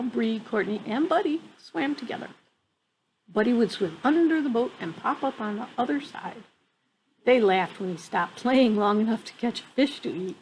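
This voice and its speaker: A woman in her fifties reads a picture book aloud in an expressive way, pausing for casual asides about the pictures. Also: fairly high pitch, low-quality recording. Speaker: storyteller reading a picture book to children. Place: outdoor wooden deck among trees. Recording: clear background noise, outdoor ambience.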